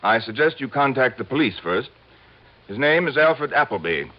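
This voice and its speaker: Pompous, animated radio insurance boss. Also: male voice, medium pitch, moderate pace. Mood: bossy, curt. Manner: pompous, demanding, fussy. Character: pompous, impatient, penny-pinching male insurance executive. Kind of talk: old-time radio drama acting. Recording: muffled vintage radio recording.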